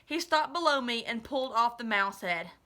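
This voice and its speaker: A woman in her thirties reads a story aloud in a very bright, forward voice. Her delivery is expressive and dramatic, and she stops now and then to correct herself. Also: fairly high pitch, normal speed. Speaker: teacher reading aloud. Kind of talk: expressive read-aloud of a children's novel. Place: small living room at home, indoors.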